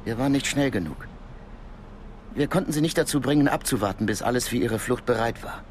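An actor playing a calm, knowing Cold War intelligence contact in a naturalistic German radio drama, explaining things in a measured, matter-of-fact way. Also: male voice, medium pitch, slight background noise, lightly acted. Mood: grave, reflective.

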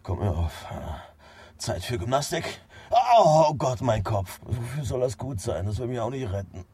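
A man's voice: medium pitch, very animated, normal speed, warm tone, breathy edge, dry recording. An actor playing a weary, self-deprecating middle-aged father and fired factory worker in a German radio drama, blunt and groaning. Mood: groaning, self-loathing.

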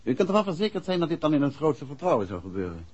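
Male investigator, courteous and persuasive, animated delivery, dull vintage radio-drama sound. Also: fairly high pitch, very fast, husky. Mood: polite, coaxing. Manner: polite, coaxing. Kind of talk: 1960s radio drama acting. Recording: muffled old 1960s radio recording.

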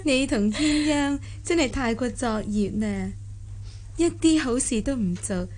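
An actress playing an upright noble lady in a radio drama, sensible and gently reproving. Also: sits high, unhurried. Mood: mildly reproving. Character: upright, gently chiding young widowed lady of noble house.

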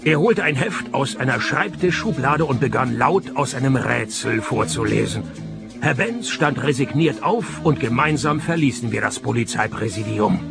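An actor playing a laconic private detective in a radio play, wry and deadpan. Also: male voice, medium pitch, normal speed, creaky. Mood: dry narration. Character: laconic male private detective narrating his own cases. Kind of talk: German-language radio play, dry first-person crime narration. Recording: music behind the voice.

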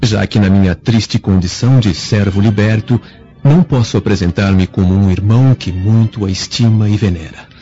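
An actor playing an earnest, flattering young freedman with formal courtesy and a scheming streak in a radio drama. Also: on the low side, moderate pace, slightly rough, soft background music. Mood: humble devotion. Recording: dull lo-fi radio drama recording.

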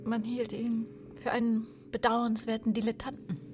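A woman performing as a knowing storyteller in a literary radio play, theatrical and savouring, with a breathy voice that often drops toward a whisper. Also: on the high side, level tone, normal speed, music in the background, lightly acted. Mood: wry. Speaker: radio drama narrator.

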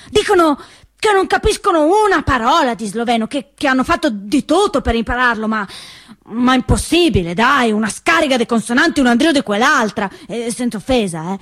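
An actress playing a spirited, cheeky teenage partisan girl, telling her story in an animated, dramatic stage monologue. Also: fairly high pitch. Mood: animated, wry. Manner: expressive, dramatic phrasing, shifts between voices.

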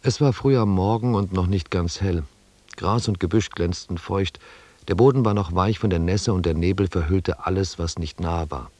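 Sober chronicle narrator, lowish pitch, dry acoustic, measured, descriptive, radio drama. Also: male voice, mellow, no room sound. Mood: sober, calm. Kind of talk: scripted literary narration in German radio drama.

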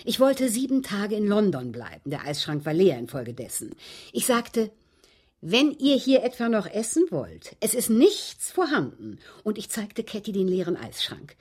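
An actress playing a genteel, aggrieved widow in a German radio drama, speaking in precise, formal, dramatic phrases with a husky voice. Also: female voice, medium pitch, wildly swooping pitch, moderate pace, a little mellow. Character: genteel, aggrieved widow recounting her captivity.

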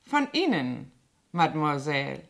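Lilting, slyly servile housemaid blackmailer. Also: female voice, sits low, very animated, a little slow, gravelly, fairly bright tone, exaggerated voice. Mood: sly, composed. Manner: deferential, mock-innocent, chatty, insinuating. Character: sly, servile housemaid turned cheerfully menacing blackmailer. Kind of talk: German radio play acting.

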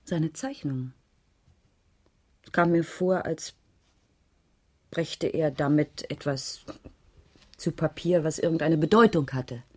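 A woman's voice: sits low, slow pace, pauses often, slightly rough, exaggerated voice. A woman playing a concerned schoolteacher under questioning in a radio crime drama, speaking in a careful, reflective, slightly hesitant way. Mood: thoughtful, hesitant. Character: concerned primary school teacher being questioned, thoughtful and defensive. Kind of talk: 1980s German radio drama dialogue.

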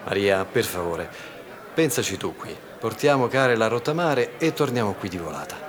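A man's voice: on the low side, big pitch swings, moderate pace, a crowd in the background. An actor playing a wry, take-charge male party host in a radio drama, exclamatory and commanding. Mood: jokingly commanding. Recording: music behind the voice.

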